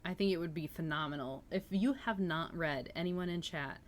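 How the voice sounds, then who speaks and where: Woman, low pitch, chatty livestream host, enthusiastic, casual delivery, noticeable background noise. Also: normal speed. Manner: chatty, enthusiastic, reacting to chat comments. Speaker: livestream host reading books aloud. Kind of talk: casual livestream chat with viewers.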